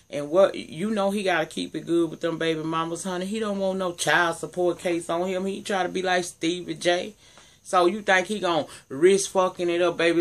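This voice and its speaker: A man with a deep voice giving a casual, sassy, profane recap of a TV episode, talking straight to his viewers. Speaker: TV recap vlogger.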